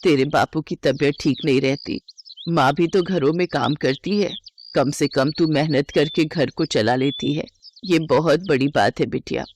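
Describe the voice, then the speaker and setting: A woman voicing a kind, weary eighty-year-old village grandmother in an affectionate, gently reassuring way for a storybook tale. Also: sits low, a little slow, slight background noise, pitched below the performer's own voice. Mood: gentle, weary.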